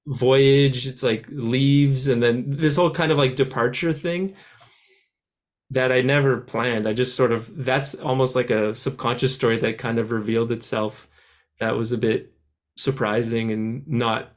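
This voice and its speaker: A man's voice: medium pitch, steady tone, a little slow. Male musician guest from France, raised in Canada; casual, rambling, full of restarts. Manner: rambling, many restarts and "like" fillers. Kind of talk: casual interview answers.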